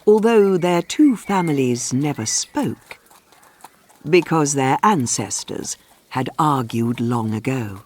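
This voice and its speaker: A woman reading a scripted storybook for English learners, with measured, clearly phrased storytelling. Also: low-pitched, darkish tone, slight background noise. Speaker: audiobook narrator.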